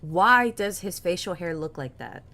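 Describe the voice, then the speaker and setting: Chatty woman with a lively voice. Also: on the low side, a little slow. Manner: chatty, incredulous. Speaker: co-watcher reacting. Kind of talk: casual reaction chat.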